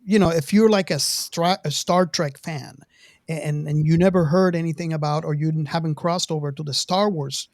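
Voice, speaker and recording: A woman in a casual panel chat, halting and stammering over the starts of words. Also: sits low, darkish tone, dry recording, studio quality. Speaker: podcast panelist.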